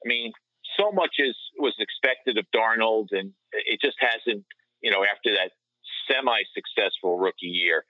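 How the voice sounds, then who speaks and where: Man, fairly low voice, dry muffled recording, casual sports analysis. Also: moderate pace, no echo. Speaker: sports podcast co-host. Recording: dull, lo-fi remote audio.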